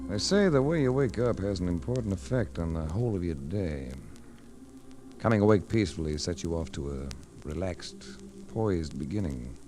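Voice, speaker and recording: Deep-voiced, wry radio private eye. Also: male voice, lively intonation. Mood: wry narrating. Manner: dry, wry, measured. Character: cool, wry male private investigator narrating his case. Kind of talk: 1940s radio detective drama acting. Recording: noisy vintage 1940s radio recording.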